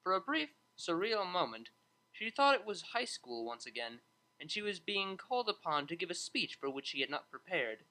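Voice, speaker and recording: Audiobook narrator for fiction: bright tone, animated intonation. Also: medium pitch, normal speed, poor audio.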